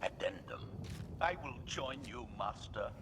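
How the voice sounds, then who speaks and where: A man's voice: medium pitch, a little slow, in a large hall, lightly acted. An actor playing a sardonic male assassin droid who speaks in clipped, precise phrases, in a video game. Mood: formal. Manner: clipped, precise, announces each remark with a one-word label. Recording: game audio with steady noise.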